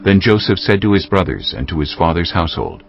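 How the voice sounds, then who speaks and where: A man narrating scripture as a dramatized audiobook in a measured, solemn storytelling manner. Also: low-pitched, very animated, dull audio, a little hiss or hum. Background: clear music.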